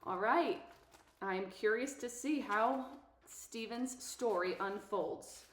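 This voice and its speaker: A woman's voice: fairly high pitch, takes it slowly, echoey room. A woman hosting a read-aloud, introducing and reading from a children's novel for her listeners. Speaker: host of a book read-aloud channel.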